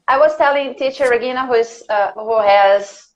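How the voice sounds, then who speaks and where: High-voiced Brazilian woman, curious host. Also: moderate pace, highs cut off. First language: Portuguese. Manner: chatty, curious, asks many questions. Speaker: conversation class host. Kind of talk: casual video call conversation. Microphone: video call.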